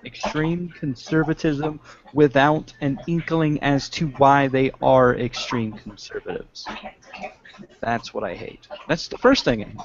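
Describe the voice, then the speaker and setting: A man's voice: mid-range voice, steady tone, a little hiss or hum. A young man co-hosting a casual political podcast from home over a video call, joking and laughing as he talks. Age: young adult. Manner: joking, laughs often, drawn-out delivery. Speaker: podcast co-host. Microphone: webcam mic on video call. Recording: dull lo-fi video-call audio.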